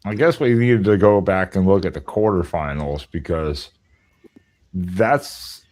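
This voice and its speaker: A man's voice: deep voice, a little slow, a little mellow. A man hosting a live show in a casual, rambling, joking way. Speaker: host. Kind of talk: casual chat.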